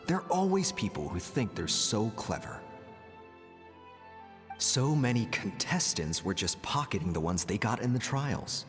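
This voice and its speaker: A low male voice with sweeping, theatrical inflection belongs to a scheming game chieftain. He talks down to everyone and justifies himself with smug, cold assurance. Long reverb trails his words over music. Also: big pitch swings, a little slow. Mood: smug, mocking. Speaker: voice actor in a video game. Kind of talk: dramatic game dialogue.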